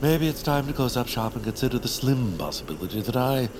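A man's voice: medium pitch, sing-song, fairly quick, lightly acted. A man acting a vain, sulky, self-important baron with a pompous, sarcastic delivery in an audio drama. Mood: sulky, indignant. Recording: clear background ambience noise.

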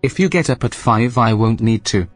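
A man voicing an unflappable, literal computer assistant in a deadpan, matter-of-fact way for an audio drama. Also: mid-range voice, normal speed, dry recording, faint music behind, close to an everyday voice. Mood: calm, insistent.

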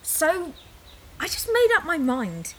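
A woman's voice: sits high, wildly swooping pitch, slow pace, full character voice. A woman acting an emotional young daughter leaving for a new life, in a naturalistic audio drama. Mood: elated.

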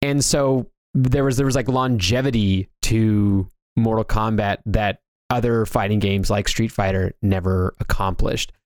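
A man's voice: on the low side, fairly even intonation, measured pace, hardly any pauses, no room sound, studio quality. A man co-hosting a video game discussion, talking casually and conversationally, often doubling back on his words. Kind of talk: casual pop-culture discussion.

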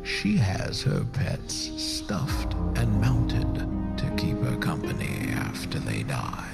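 A man's voice: sits low, wildly swooping pitch, gravelly, exaggerated voice. An actor playing a ghoulish immortal horror host, sardonic and mock-grandiose as he sneers at mortals in a theatrical audio drama. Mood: sardonic, macabre. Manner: slow, menacing, mock-grandiose, drawn-out words. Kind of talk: theatrical horror anthology narration. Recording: loud music behind the voice.

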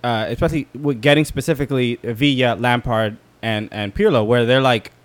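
A man's voice: medium pitch, fairly even intonation, no echo. A man from Brooklyn co-hosting a podcast, chatting casually and restarting his sentences often. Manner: halting, repeats words, laughs often. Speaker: podcast co-host, comedian. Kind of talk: casual chat.

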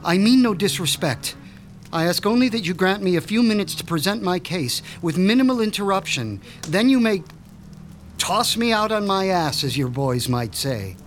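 An actor playing a proud, formal mad-scientist doctor in a radio drama, speaking with precise, pompous diction. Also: male voice, high voice, animated, moderate pace. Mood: measured. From Switzerland. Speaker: mob doctor.

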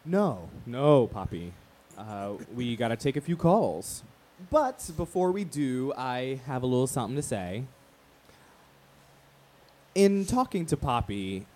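Earnest radio host with swooping intonation. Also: male voice, mid-range voice, darkish tone. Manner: rhetorical, measured, theatrical. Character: earnest, reflective male radio talk show host. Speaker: radio talk show host. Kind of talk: radio play acting, talk show monologue.

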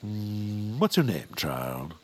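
An actor playing a pompous, grumpy villainous baron who grudgingly softens, in a comic audio drama. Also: male voice, fairly low pitch, sing-song, slow. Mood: dismissive. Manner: pompous, dismissive, theatrical. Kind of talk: comic audio fiction acting.

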